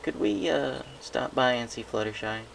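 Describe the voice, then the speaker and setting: A man voicing a bewildered, awkward grown stallion pony who speaks haltingly in a read-aloud story. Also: mid-range voice, expressive, gravelly, fairly bright tone, slight background noise, voice pushed up. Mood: hesitant. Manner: halting, stammering.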